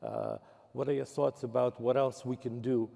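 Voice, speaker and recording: Very gravelly mellow older man moderating. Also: medium pitch, level tone, normal speed. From Odessa, Ukraine. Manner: hesitant, stop-and-start phrasing. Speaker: interviewer, moderator. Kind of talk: on-stage interview. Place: large hall at a summit. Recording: reverberant hall.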